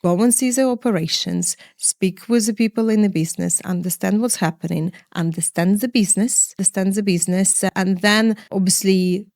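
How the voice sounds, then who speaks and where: A middle-aged woman from Ukraine, a finance executive answering interview questions in a measured way, often pausing to find words and listing points one by one. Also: mid-range voice, a little mellow, no echo, clean studio sound.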